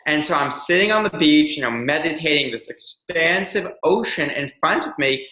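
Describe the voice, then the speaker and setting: Interview guest: young man, earnest and reflective, crisp bright voice, roomy echo. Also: a little high, expressive, normal speed. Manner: earnest, reflective, many ums. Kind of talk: candid personal storytelling.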